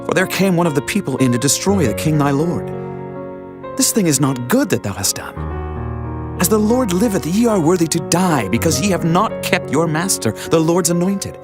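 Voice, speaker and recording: Devout warrior leader, swooping declamatory delivery. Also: male voice, a little high. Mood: indignant shouting. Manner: solemn, declamatory, emphatic. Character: David, devout, commanding young male warrior leader. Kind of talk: dramatized scripture acting.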